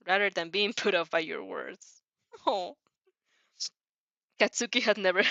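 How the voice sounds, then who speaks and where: A woman's voice: mid-range voice, fairly bright tone, no room sound. A woman reading fan fiction aloud with casual reactions, giggly and often stumbling over words and rereading them. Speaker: narrator and reactor.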